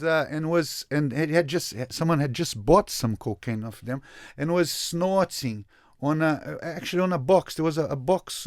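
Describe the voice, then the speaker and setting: A man from Brazil, a photographer and interview guest, telling a story in an animated way, often repeating words and restarting his sentences. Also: on the high side, dry recording, studio quality.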